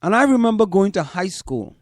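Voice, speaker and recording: A man from Trinidad and Tobago, speaking as a show host in deliberate commentary and repeating phrases for emphasis. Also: sits high, big pitch swings, no echo, clean studio sound. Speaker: host of a community parents' talk show. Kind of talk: spoken commentary to listeners.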